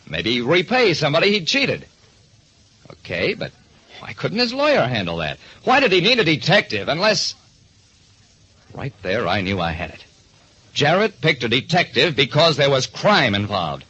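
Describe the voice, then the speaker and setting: Hard-boiled American male detective narrator, old radio style, raspy, forceful, animated, slightly muffled sound. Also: mid-range voice. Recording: dull, band-limited recording.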